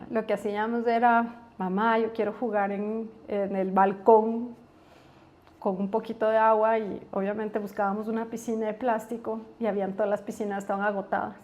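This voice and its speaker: A woman with an Ecuadorian accent, a guest in an interview, telling personal stories in a relaxed, thinking-aloud way. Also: a little high. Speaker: guest, company executive. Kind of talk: casual interview storytelling.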